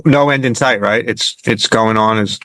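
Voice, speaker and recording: A man's voice: on the low side, moderate pace, a bit bright, no echo, rough recording. A man hosting a casual interview as an enthusiastic, gushing fan. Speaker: interviewer, comic book fan host.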